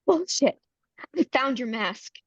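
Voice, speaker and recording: A woman's voice: high voice, expressive, slow, slightly rough, highs cut off, heavily acted. A woman playing a desperate, vengeful young beauty queen in a horror script table read, speaking with urgency. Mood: vengeful, angry.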